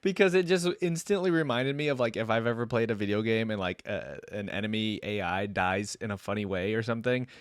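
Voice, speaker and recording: A man's voice: lowish voice, fairly quick, few pauses, smooth. A man giving casual reaction commentary as a video host, often echoing what was just heard. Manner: echoes lines, easily amused.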